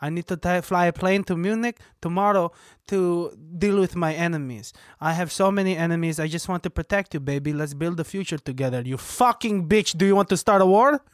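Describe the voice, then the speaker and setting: Guy doing israeli con man boyfriend, sweet talking but menacing, kinda high voice. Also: a little fast, few pauses, dry recording, studio quality, close to an everyday voice. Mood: angry threat. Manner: sweet-talking, pleading, then threatening.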